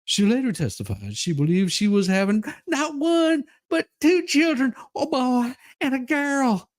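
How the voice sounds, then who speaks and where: A man's voice: extremely high pitch, big pitch swings, unhurried. A man giving a comedic dramatic reading of a legal document, theatrical and folksy, with mocking asides. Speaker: streamer performing a dramatic reading.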